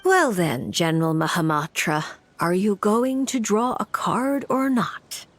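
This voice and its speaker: A woman's voice: mid-range voice, animated, measured pace. A woman acting a cool, composed and blunt fortune-telling mage with a dry manner in a video game. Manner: composed, matter-of-fact, dry.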